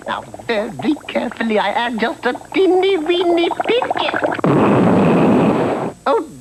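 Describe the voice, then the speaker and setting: A woman's voice: sits high, big pitch swings, a little slow, full character voice, low-quality recording. A woman voicing a forgetful, folksy little old witch in a comic cartoon, with a cackling, chatty delivery. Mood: scatterbrained, chatty. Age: older. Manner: folksy, scatterbrained, calls people honey. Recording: old TV cartoon soundtrack with music.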